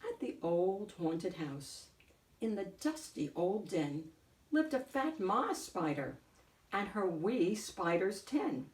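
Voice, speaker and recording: A woman reading a picture book aloud as host, in a deliberate, theatrical storytelling way. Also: mid-range voice, sing-song, darkish tone, far from the mic. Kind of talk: expressive picture-book narration. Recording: echoey room, off the mic.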